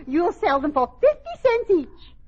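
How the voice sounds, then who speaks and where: An actress playing a gushing, pushy charity matron in an old radio sitcom, with fluttery, affected sweetness. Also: female voice, very high-pitched, very animated, a little slow, darkish tone, exaggerated voice. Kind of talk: 1950s radio sitcom acting before a live audience. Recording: muffled old radio recording with studio audience.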